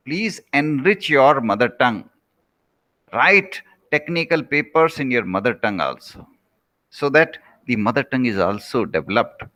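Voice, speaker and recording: A man's voice: mid-range voice, sharp tone. A man with an Indian accent, a native Rajasthani speaker, giving an earnest recorded lecture as a professor, often repeating his key points.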